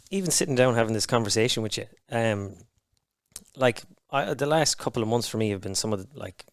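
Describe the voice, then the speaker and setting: A man's voice: medium pitch, expressive, no room sound, clean studio sound. A man speaking candidly as a podcast guest, swearing often and restarting his sentences. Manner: frank and heavy-swearing, halting restarts. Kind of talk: candid conversation.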